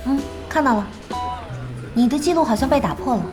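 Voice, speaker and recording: A determined young female gamer in a radio drama. Her fairly high voice stays cool and brief, with a playful competitive streak. Music is audible behind her. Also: lively intonation, a little fast. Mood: curious. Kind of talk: Chinese audio drama acting.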